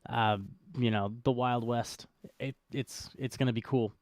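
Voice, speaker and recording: A man's voice: medium pitch, fairly even intonation, a little slow, darkish tone, no room sound. A man co-hosting a livestream, explaining things casually in informal, laid-back phrasing. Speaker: co-host of a crypto show.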